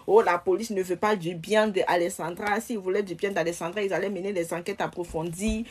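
A woman's voice: on the low side, fairly even intonation, a little fast, slight background noise. A young woman giving emphatic casual commentary to her viewers into a phone camera. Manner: emphatic, addresses viewers directly. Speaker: social media commentator. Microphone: phone camera close up.

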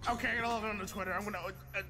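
A man voicing a loud, drunk, ranting villain scientist in a comedic video game fan dub. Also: high-pitched, fairly even intonation, very fast. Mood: drunken ranting. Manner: bombastic, rambling, profane outbursts.

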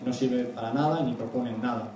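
Man, spanish accent, conversational talk, mellow voice, echoey room. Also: mid-range voice, fairly even intonation, a little fast. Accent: Spanish from Spain. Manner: conversational, frequent "¿no?" tags. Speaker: guest speaker, YouTube analyst. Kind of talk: semi-prepared public talk. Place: small indoor meeting room. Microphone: handheld mic. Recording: echoey room, distant mic, faint noise.

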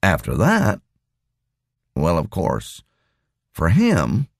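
A man's voice: sits low, huge pitch swings, very slow, creaky, no room sound, studio quality, exaggerated voice. A man reading an audiobook voices an easygoing male engineer in a plain, slightly raised voice. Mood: musing. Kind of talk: audiobook dialogue.

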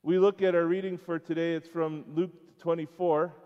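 A middle-aged American man giving an informal children's sermon in a church, halting between short phrases and putting questions to his listeners. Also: a little high, flat delivery, smooth. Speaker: school chapel speaker. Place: church sanctuary, speaking before schoolchildren. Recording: dull, echoing church hall pickup.

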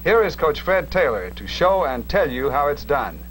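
A male narrator with a fairly high-set voice introduces an instructional film in scripted 1960s style. The old film soundtrack carries a light layer of noise. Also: very animated, moderate pace, a bit bright, rough recording.

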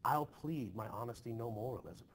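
A man playing a stern, troubled, short-tempered Puritan farmer in an expressive play reading, with forceful archaic phrasing. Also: mid-range voice, fast, natural voice. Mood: indignant. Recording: noisy home recording.

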